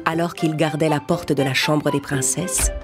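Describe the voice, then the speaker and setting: Lady reading kids fairy tales, lowish voice, measured storyteller style, clear music underneath. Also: steady tone. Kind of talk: scripted children's fairy-tale narration. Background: music under the narration.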